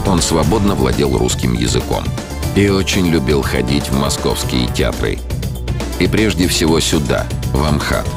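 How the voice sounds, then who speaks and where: A man narrating a documentary in a measured, solemn voice-over recorded in a studio. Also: a bass voice. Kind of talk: scripted narration. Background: loud music score.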